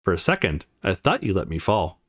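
A man's voice: low-pitched, wildly swooping pitch, brisk, a bit bright, voice pushed down, exaggerated voice. A man voicing a confident, playful young college woman in a visual novel. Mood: teasing.

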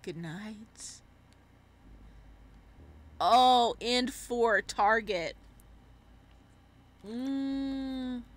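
A woman giving casual let's-play commentary and reading game text aloud, with an excitable, reactive manner. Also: on the high side, extremely slow, long pauses. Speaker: gaming streamer.

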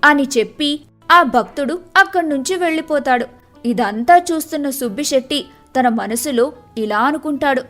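A woman's voice: sits high, expressive, moderate pace, fairly bright tone, soft background music. A woman reading scripted narration for a moral tale as the storyteller.

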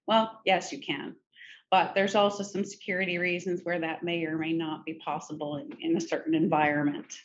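Middle aged woman doing a casual screen share walkthrough, lowish voice, kinda dull audio with faint noise. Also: level tone, moderate pace. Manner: informal step-by-step explaining. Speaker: software demo presenter. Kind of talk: conversational screen-share tutorial. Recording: muffled, noisy screen-recording audio.

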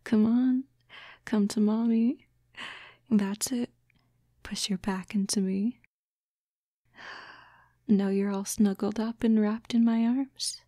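A woman voicing a doting, teasing fox-girl girlfriend in a soft, breathy, coaxing ASMR roleplay, close to the microphone. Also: medium pitch, no room sound. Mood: affectionate, teasing. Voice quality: soft, breathy, often whispering. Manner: slow, coaxing, cooing. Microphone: close, dry microphone.

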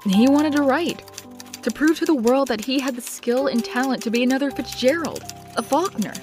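A woman playing a young woman confiding her painful past in an expressive, reflective comic dub narration. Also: high-pitched, normal speed, music in the background, close to an everyday voice.

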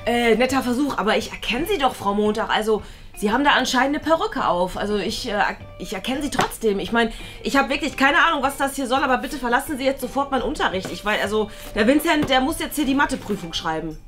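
A man playing a formal, stern female maths teacher with a halting delivery in a comedy skit. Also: extremely high pitch, animated, a bit bright. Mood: indignant. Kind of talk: exaggerated family comedy skit acting. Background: background music.

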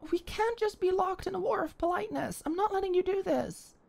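A young woman in an ASMR roleplay, talking shyly and stammering as a flustered neighbor, sometimes dropping to a soft whisper. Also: very high voice, animated, fairly quick, creaky. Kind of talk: ASMR roleplay, one-sided dialogue.

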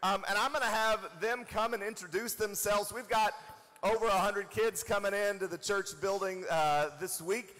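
A pastor welcoming the congregation and making friendly, relaxed announcements in a large church sanctuary, talking into a handheld mic. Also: male voice, high-pitched. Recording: long hall reverb.

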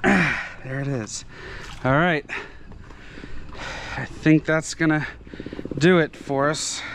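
A man's voice: a little high, takes it slowly, gravelly. Man explaining boat work outdoors. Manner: practical, talks through each step while working. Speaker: sailing vlog host. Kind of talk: casual explaining on deck. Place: outdoors on a catamaran deck and dinghy. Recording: wind and water noise.

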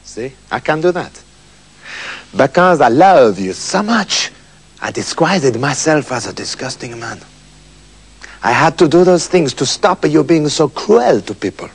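A man voicing a gentle, loving king who speaks softly and low. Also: big pitch swings, measured pace, breathy edge, heavily acted. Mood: tender. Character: tender, soothing young king, silly at heart.

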